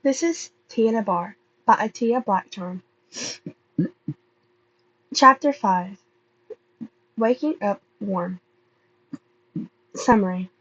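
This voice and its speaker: A woman reading fan fiction aloud as an amateur narrator, halting and often stumbling over and repeating words. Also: medium pitch, a bit bright, dull audio. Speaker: amateur podfic reader.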